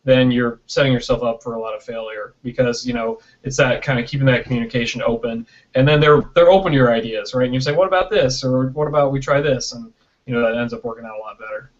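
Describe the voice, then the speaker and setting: A man's voice: mid-range voice, quick pace. A man being interviewed on a casual video call, explaining things conversationally and often checking in with "right?", recorded through a built-in webcam mic. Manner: explanatory, often tags sentences with "right?" and "you know". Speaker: interview guest, video producer. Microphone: built-in webcam microphone on video call. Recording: dull lo-fi web video call.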